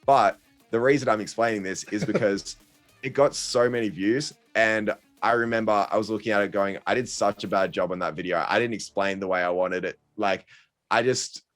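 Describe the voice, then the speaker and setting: Young male podcast guest, very low pitch, halting and easygoing. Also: steady tone, faint music behind. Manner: hesitant, many ums and restarts, laughs easily. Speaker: guest content creator. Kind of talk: casual podcast chat.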